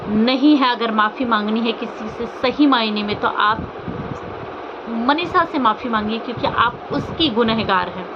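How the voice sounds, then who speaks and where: A woman vlogger gives unscripted, scolding commentary in a mid-pitched voice. She sounds indignant and often puts rhetorical questions to the listener. The sound is muffled and lo-fi, with very loud vehicle and ambient noise around her. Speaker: social media vlogger commenting on online drama. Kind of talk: unscripted opinion commentary on a personal scandal.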